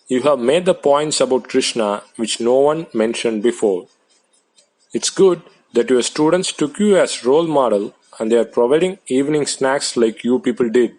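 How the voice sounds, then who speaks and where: A man, reading out translated debate speeches in a plain, measured way. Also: medium pitch, a little mellow, rough recording.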